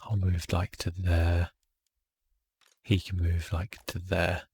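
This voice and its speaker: Soft spoken asmr gamer guy, really low gravelly voice, thinking out loud, bit of noise behind him. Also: takes it slowly. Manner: relaxed, thinking aloud while playing. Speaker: gaming video host.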